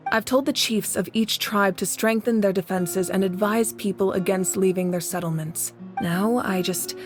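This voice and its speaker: A woman acts a female warrior leader in a dramatic video game. She is composed and caring, speaking with measured authority at a middle pitch. Music plays clearly behind her earnest, resolute delivery. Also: natural voice. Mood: steadfast.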